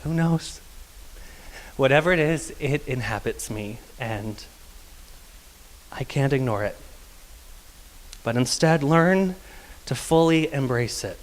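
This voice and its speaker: Male storyteller from Texas, soft feminine-leaning voice, confessional and wryly humorous, mid-range pitch, long hall reverb. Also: expressive, takes it slowly, long pauses. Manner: confessional and wry, with light laughs. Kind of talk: live personal storytelling monologue.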